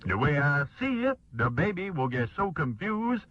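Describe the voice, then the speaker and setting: A man's voice: a little high, very animated, normal speed, a bit bright, dry recording, muffled recording. A man voicing an easygoing elderly grandfather who scolds his wife in a radio comedy sketch, drawling and mock-patient. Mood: arguing.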